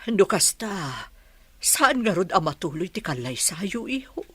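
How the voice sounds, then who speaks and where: Casting: woman as ailing, worrying Filipino mother for radio drama; husky, plaintive, swinging intonation, dry recording. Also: on the low side, big pitch swings, measured pace, no room sound, heavily acted. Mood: concerned. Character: ailing, fretful mother of grown sons. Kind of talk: Ilocano radio drama acting.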